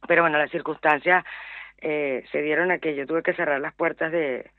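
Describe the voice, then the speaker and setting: A woman's voice: low voice, steady tone, normal speed, no room sound. A middle-aged woman with a Venezuelan accent, a radio interview guest who talks hesitantly with many fillers and repeated words. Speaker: guest, small business owner. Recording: dry, tinny phone line.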